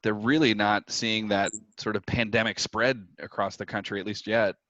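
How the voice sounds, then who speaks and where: A man's voice: on the low side, steady tone, moderate pace, bright, forceful tone, no room sound, muffled recording. A man chatting casually on a group call.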